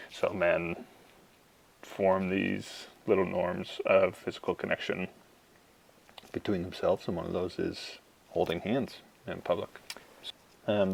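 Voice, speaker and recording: Young Canadian male, student presenter. Low register, very creaky, hesitant informal delivery. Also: rough recording. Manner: hesitant, halting, thoughtful phrasing. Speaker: university student. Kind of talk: informal spoken class presentation to camera.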